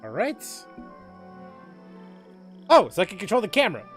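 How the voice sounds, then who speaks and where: A young male streamer with a fairly high voice chats casually over a video game. He rambles, stumbles over repeated words and laughs often, while faint game music plays behind him. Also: wildly swooping pitch, moderate pace. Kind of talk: casual gameplay commentary.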